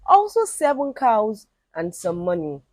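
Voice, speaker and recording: A woman's voice: mid-range voice, wildly swooping pitch, unhurried, a little mellow, no room sound. A woman voicing a suffering, pleading king in a folktale, bargaining earnestly. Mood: offering.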